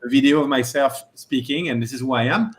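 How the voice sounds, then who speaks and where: A man's voice: medium pitch, fairly quick. A man with a strong French accent, speaking as a guest in a casual chat with many fillers and restarts. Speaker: guest panelist. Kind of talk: casual video-call chat.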